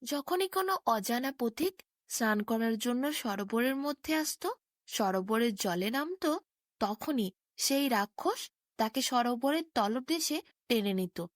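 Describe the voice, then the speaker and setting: A woman's voice: on the high side, animated, unhurried, no room sound, clean studio sound. A woman narrating a bedtime fairy tale as a storyteller.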